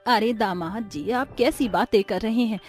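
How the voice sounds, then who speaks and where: A woman's voice: fairly high pitch, normal speed, breathy edge, soft background music. Woman voicing an indignant, scolding Indian mother-in-law. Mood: scandalized. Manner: indignant, reproachful. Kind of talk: animated storybook dialogue.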